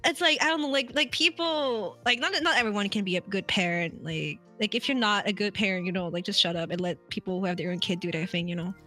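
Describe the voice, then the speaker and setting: A woman's voice: medium pitch, fast, few pauses, pushed and bright, faint music behind. A woman in casual stream chat, rambling with many restarts and giving blunt opinions. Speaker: streamer co-host.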